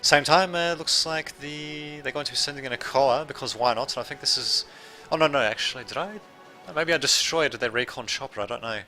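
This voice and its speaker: Bright-voiced Australian man casting a strategy game. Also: mid-range voice, brisk, hardly any pauses, faint music behind. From Serbia, raised in Australia. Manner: rambling, self-correcting, chatty asides to viewers. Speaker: gameplay commentator. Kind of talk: casual live game commentary.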